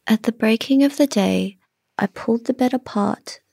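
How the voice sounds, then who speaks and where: A woman reading her own literary story in a measured way, often dropping to a breathy near-whisper. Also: a little high, a little mellow, no room sound, clean studio sound.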